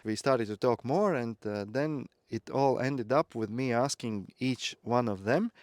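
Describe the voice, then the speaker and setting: A man's voice: mid-range voice, measured pace, a little mellow, no room sound, clean studio sound. Earnest man. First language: Latvian. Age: 30s. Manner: reflective, earnest, with light laughs. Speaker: growth mentor. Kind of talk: personal storytelling talk.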